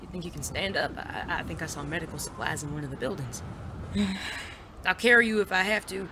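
An actor playing a polite, refined and tender gentleman bandit in a Western audio drama. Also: mid-range voice, fairly quick, lightly acted. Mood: anxious, tender. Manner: polite, formal, refined wording. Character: genteel, tender gentleman bandit of the Old West. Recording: clear background ambience noise.

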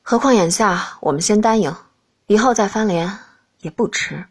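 A formal, scheming royal man voiced by a woman in an audiobook. The voice is dropped low and speaks in measured, courtly phrases. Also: breathy edge, close to an everyday voice. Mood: sly.

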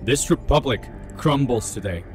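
A man's voice: mid-range voice, expressive, moderate pace, soft background music, close to an everyday voice. A man playing a vengeful, grieving father and villain in an audio drama, with grandiose, menacing delivery.

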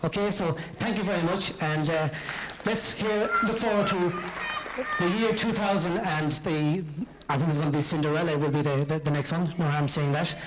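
A middle-aged man with a western Irish accent giving an informal, halting thank-you speech from a stage into a handheld microphone. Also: fairly high pitch. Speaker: pantomime company speaker giving thanks. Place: on stage in a theatre. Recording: noisy live theatre recording, loud audience.

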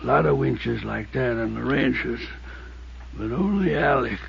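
An actor playing a weak, wounded, distrustful old prospector in a 1950s radio drama, speaking in halting fragments with a gravelly voice. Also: male voice, mid-range voice. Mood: weak, bitter. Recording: muffled, faintly noisy 1950s radio recording.

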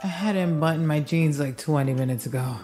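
A woman's voice: deep voice, fairly quick, mellow, faint music behind, lightly acted. A woman playing a jittery, grumbling podcast crew member, whiny and emphatic, in a naturalistic audio drama. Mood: relaxed banter.

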